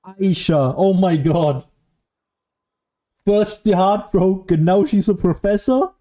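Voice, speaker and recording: A man's voice: sits high, slow, dark tone. A man giving casual, sarcastic commentary as he plays a video game. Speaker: gaming streamer. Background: faint game music.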